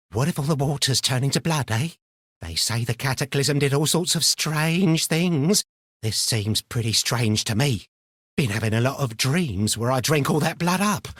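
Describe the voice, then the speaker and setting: An eccentric miner from a fantasy video game, chatty and a little unhinged. His pitch swoops about freely as he rambles and mutters in colloquial phrases, sliding between chummy and suspicious. The recording is close and dry. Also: breathy edge, no echo. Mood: uneasy, ominous, then unhinged musing. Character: eccentric, paranoid, chatty mad miner, adult man. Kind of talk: video game character voice lines.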